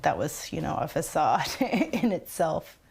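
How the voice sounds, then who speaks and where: A woman giving an unscripted personal account in an interview, halting and often saying "you know". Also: low-pitched, very animated, creaky, darkish tone, husky. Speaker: documentary interviewee.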